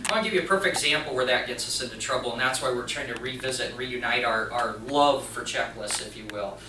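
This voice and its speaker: A man giving an informal instructional talk, telling anecdotes in an animated, conversational way as the host. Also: medium pitch, quick pace. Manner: animated storytelling, acting out dialogue. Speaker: speaker and channel host. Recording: roomy echo, distant mic, faint noise.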